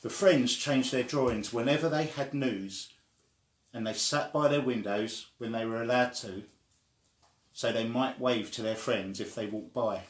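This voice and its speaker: A man reading a children's story aloud in an expressive way, acting out the quoted lines. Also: mid-range voice, unhurried. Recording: echoey room.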